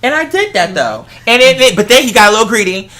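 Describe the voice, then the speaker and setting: Fast-talking, bright-voiced young American man. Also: extremely high pitch, big pitch swings. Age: 20s. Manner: emphatic, opinionated, conversational. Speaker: host and performer. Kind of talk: casual animated chat.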